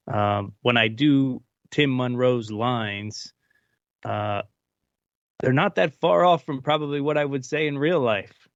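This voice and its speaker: A man's voice: medium pitch, dry recording, lo-fi sound. A man chatting casually as a voice actor being interviewed.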